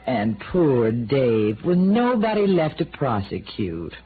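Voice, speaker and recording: On a muffled, faintly noisy old radio recording, a scheming widow speaks. She is a brazen noir femme fatale, taunting and sure of herself. Her pitch swoops dramatically. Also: big pitch swings, takes it slowly, full character voice. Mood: smug, taunting. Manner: taunting, brazen, self-assured. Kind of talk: 1950s radio noir acting.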